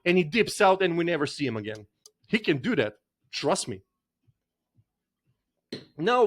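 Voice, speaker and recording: A man with a somewhat high voice rants in a long monologue, swearing freely and circling back to the same points. His delivery is insistent and blunt. He speaks as a fan addressing fellow supporters. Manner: profane, repetitive, insistent. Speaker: football fan vlogger. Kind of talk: ranting monologue.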